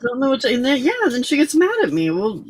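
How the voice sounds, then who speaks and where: A woman's voice: fairly high pitch, sing-song, fast talker, crowd noise. A woman hosting a crafting livestream, chatty and playful, scolding her cat and joking with her co-host. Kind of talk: casual chat while crafting.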